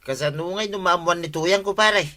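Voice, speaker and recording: A man's voice: high voice, a little fast, sharp tone. A man playing a genial village farmer and drinking buddy in an Ilocano radio comedy. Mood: agreeable. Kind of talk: comedic radio drama acting.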